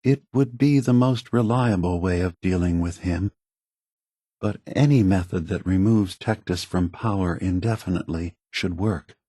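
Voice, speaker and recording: An actor playing an ancient synthetic android leader in a video game, calm, sorrowful and earnest, with measured, deliberate delivery. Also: male voice, low voice, breathy edge, no room sound, clean studio sound. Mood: measured persuading. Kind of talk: scripted video game dialogue.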